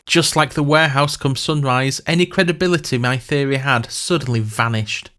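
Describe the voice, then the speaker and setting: A man's voice: mid-range voice, moderate pace, pushed and bright. A man narrating a scripted comic fantasy podcast in a wry, sardonic, wordy manner.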